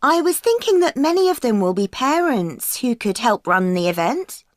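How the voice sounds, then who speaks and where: A woman's voice: a little high, big pitch swings, moderate pace, no echo. An eager young female student. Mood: earnest. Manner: eager, agreeable, laughs often. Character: keen young female events-management student. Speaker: student planning a work placement. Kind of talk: scripted exam dialogue. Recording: dry studio recording.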